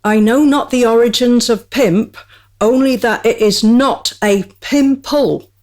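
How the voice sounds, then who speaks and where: A woman's voice: a little high, unhurried, darkish tone, natural voice. A woman playing a small, witty court jester in a radio play, speaking in a wry, mock-courtly way. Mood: confiding, grave.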